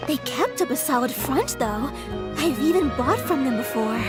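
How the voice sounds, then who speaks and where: High-voiced gossiping townswoman. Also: expressive, normal speed. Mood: gossipy. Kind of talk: video game voice acting. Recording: loud music behind the voice.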